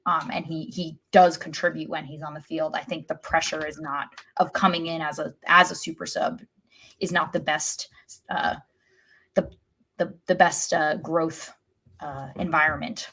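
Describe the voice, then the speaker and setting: A woman co-hosting a soccer podcast, discussing the game casually with deliberate, thoughtful phrasing. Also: low voice, level tone, pushed and bright, slightly rough.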